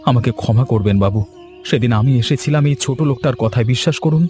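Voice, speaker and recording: A man's voice: mid-range voice, fast, mellow, faint music behind, natural voice. A man playing an earnest, wronged and hot-headed villager in a cartoon story, speaking emphatically. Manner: emphatic, declamatory. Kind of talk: Bengali cartoon story acting.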